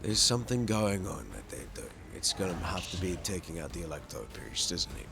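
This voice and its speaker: A man's voice: low voice, very animated, fairly quick, creaky, background noise. A man hosting a video game playthrough, reading story narration dramatically and commenting casually on play.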